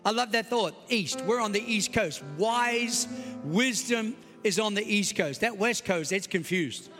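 High-voiced Australian pastor in a hall. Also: male voice, unhurried, soft background music. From United States. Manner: emphatic, dramatic swings between loud and soft. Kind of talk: Christmas Eve sermon. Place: large church hall. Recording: long hall reverb.

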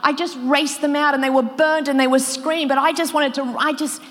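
A woman's voice: high-pitched, little pitch movement, a little fast. Woman preaching in a reverberant hall. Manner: emotional, quoting others with rising intensity. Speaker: pastor. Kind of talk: sermon storytelling.

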